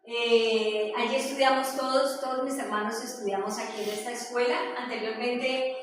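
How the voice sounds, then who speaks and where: A woman with a Colombian accent giving an informal presentation, telling stories in a halting, conversational way. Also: a little high, fairly even intonation, music in the background. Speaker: presenter sharing her hometown memories. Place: echoey indoor room with a small audience. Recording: very echoey room, distant mic, faint noise.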